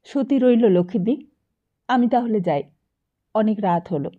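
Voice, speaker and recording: A woman's voice: mid-range voice, animated, measured pace, narrow, tinny audio. A woman voicing a polite, reticent male family friend in an audiobook, courteous and a little hesitant. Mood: reserved. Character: polite, reticent man, a family friend taking his leave.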